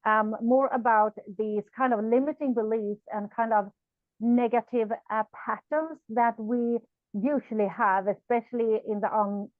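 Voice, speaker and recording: A woman's voice: a little high, steady tone, unhurried, no echo, phone-quality sound. A woman from Sweden, a non-native English speaker, hosting an interview and talking in a thoughtful way, often repeating words as she searches for them. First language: Swedish. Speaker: podcast host. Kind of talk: conversational interview.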